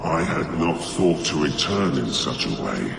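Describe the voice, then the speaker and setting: A solemn spirit of justice for a fantasy game, formal and commanding. The voice is low and swells widely in pitch, echoing over clear background noise. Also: male voice, sing-song, a little slow, heavily acted. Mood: grave, stern. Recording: echoing voice over noisy ambience.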